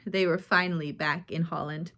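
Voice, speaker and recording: A woman's voice: on the low side, fairly even intonation, moderate pace, bright, forceful tone. A woman reading a chapter of a biography aloud as narrator, lifting her voice for quoted lines. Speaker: audiobook reader. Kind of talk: scripted narration.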